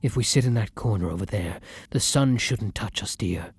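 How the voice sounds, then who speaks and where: A man playing a tender, weary vampire lover in an intimate ASMR romance roleplay, speaking gently and courteously in a breathy voice that often drops into whispers. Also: fairly low pitch, normal speed, soft background music. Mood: weary, gentle. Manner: gentle, courtly, earnest endearments.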